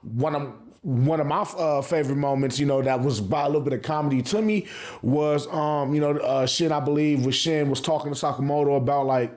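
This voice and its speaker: A man's voice: fairly high pitch, a little fast, darkish tone. A man hosting a casual anime review, talking in heavy slang and profanity, repeating phrases for emphasis. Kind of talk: casual hype review commentary.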